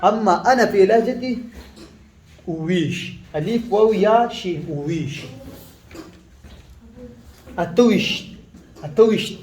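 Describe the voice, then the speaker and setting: A man whose first language is Pashto, giving a casual spoken lesson, halting with many fillers and restarts and repeating words slowly. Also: high-pitched, animated, a little mellow, reverberant. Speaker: language teacher.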